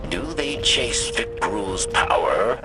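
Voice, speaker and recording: Old alien jailer, very slow halting speech, deep gravelly croak, wary and servile, theatrical swoops, video game character. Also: male voice, lively intonation, music in the background. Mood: ominous. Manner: halting, theatrical, refers to self in third person.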